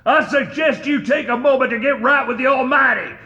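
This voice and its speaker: An actor playing a vengeful, menacing outlaw in a western audio drama, shouting a theatrical proclamation. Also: male voice, extremely high pitch, brisk, reverberant, dull audio, full character voice. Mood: menacing, defiant. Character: vengeful outlaw issuing a menacing ultimatum to a town.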